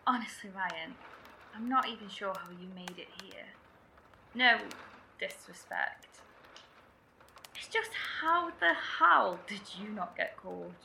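Creaky, piercing wary woman survivor. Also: medium pitch, lively intonation, slow pace, pauses often, pushed and bright, heavily acted. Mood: incredulous, uneasy. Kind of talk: horror audio drama acting. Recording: roomy, distant field recording with ambient noise.

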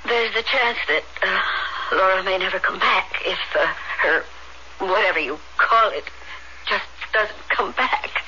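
A distraught, disbelieving radio-drama mother. Her speech is anxious and halting, full of pleading. The old broadcast is muffled and plainly noisy. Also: medium pitch, bright, forceful tone.

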